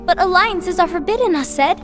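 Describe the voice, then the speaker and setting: An actress playing a gentle, hesitant young apprentice in a fantasy game, with a soft, tentative manner. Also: female voice, extremely high pitch, expressive, normal speed, over music. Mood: hesitant, concerned. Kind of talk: animated game cutscene acting.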